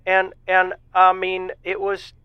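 A shy, lonely bouncer phoning in to an audio-drama radio show. His voice is unusually high for a man, folksy and halting, with words repeated. The sound is slightly dull and very dry. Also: monotone, clear, resonant tone, no echo. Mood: bashful wonder. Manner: halting, repetitive, folksy storytelling. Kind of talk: semi-improvised audio drama acting.

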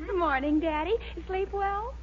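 A woman's voice: extremely high pitch, moderate pace. An actress playing a sweet, encouraging young American woman in a 1940s radio sitcom, coaxing and earnest. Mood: bright, encouraging. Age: young adult. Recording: dull old radio recording, faint hum.

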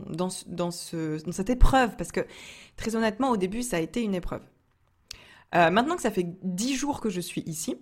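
Young woman from France, low-pitched, casual vlog storyteller, talks with many fillers. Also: a little mellow. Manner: chatty, frequent fillers and restarts, laughs often. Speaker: entrepreneur vlogger.

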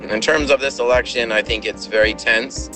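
An American man in his forties, speaking in a calm, measured way as an interviewee about the mood in his country. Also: mid-range voice, over music, low-quality recording. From United States. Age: 40s.

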